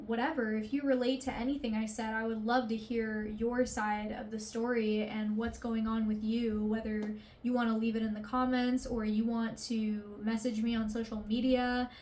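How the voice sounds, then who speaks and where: Slow-talking young woman, candid vlog. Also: on the high side, dark tone. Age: 20s. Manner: rambling, emotional, swears casually, many fillers. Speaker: artist vlogger.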